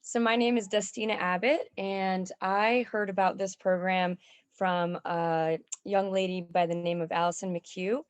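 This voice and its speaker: A woman's voice: mid-range voice, fairly even intonation, unhurried, smooth, no echo. A woman giving a personal spoken testimonial in a conversational way.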